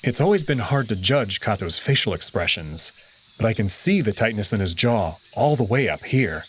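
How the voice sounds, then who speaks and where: A man narrating a visual novel in the first person with an expressive, dramatic reading. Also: fairly low pitch, normal speed, a little hiss or hum.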